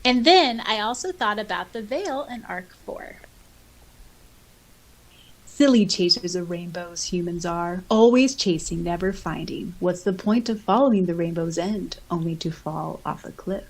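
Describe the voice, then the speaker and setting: A woman's voice: on the low side, expressive, a little slow, rough recording. A woman co-hosting a podcast, chatting informally and reading comments aloud with enthusiasm. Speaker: podcast co-host. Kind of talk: casual podcast chat, reading out listener comments.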